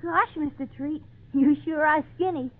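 A woman's voice: sits high, a little slow. A woman playing an earnest, polite, folksy little boy in an old-time radio drama. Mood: shy, curious. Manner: folksy, wide-eyed, hesitant. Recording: tinny band-limited 1940s radio recording.

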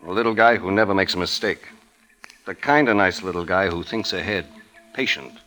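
A man's voice: deep voice, a little fast, sharp tone. An actor playing a weary, suspicious police detective in his thirties, clipped and insinuating, in 1950s hardboiled radio style. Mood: insinuating, dry. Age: 30s. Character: weary, suspicious 37-year-old New York police detective. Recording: old radio drama recording.

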